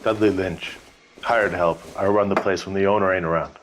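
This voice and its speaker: A man's voice: deep voice, steady tone, normal speed, noisy background, natural voice. A man playing a guarded, working-class bar manager in a 1940s crime game, with a dry, offhand, evasive manner. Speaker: bartender. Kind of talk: scripted video game dialogue, 1940s crime drama.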